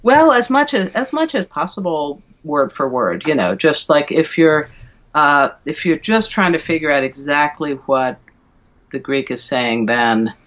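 A middle-aged woman explaining things thoughtfully and haltingly as an interview guest, over a video call. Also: sits low, animated, a bit bright. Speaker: guest, verse translator and classicist. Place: indoor room at home, video call. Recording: dull, lo-fi video call audio.

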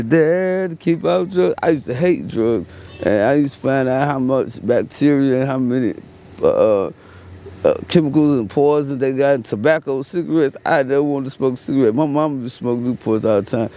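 A man in his late thirties from Fort Worth with a Texan accent, talking in a relaxed, rambling way outdoors at dusk. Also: mid-range voice, moderate pace. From Fort Worth, Texas. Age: late 30s. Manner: laid-back rambling, frequent "you know what I'm saying". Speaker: interviewee. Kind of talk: casual street interview. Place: outdoors at dusk in a residential yard. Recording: faint outdoor street noise.

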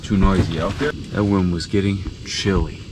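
A young man vlogging a day of ice fishing on a frozen lake, talking to himself and the fish in a low-key way. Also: steady tone, normal speed. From Wisconsin. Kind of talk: casual running commentary while ice fishing. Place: frozen lake outdoors, then inside a small ice shanty. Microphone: action camera on the ice. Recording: distant camera mic, loud wind noise.

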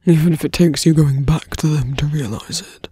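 A man voicing a seductive, smug fantasy villain in an ASMR roleplay, coaxing in a breathy, teasing whisper close to the mic. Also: a little high, moderate pace, dark tone, dry recording. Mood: smug concern. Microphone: close dry microphone.

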